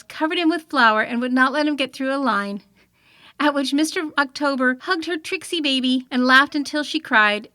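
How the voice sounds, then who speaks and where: High-pitched woman reading a children's story. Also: a bit bright, no room sound, clean studio sound. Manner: old-fashioned storytelling with acted-out quotes. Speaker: storybook reader.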